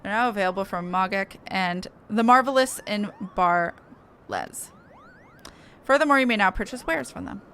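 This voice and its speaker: A woman's voice: mid-range voice, moderate pace, a bit bright, slight background noise. A woman giving casual gameplay commentary as a streamer, reading game text aloud with wry, joking asides.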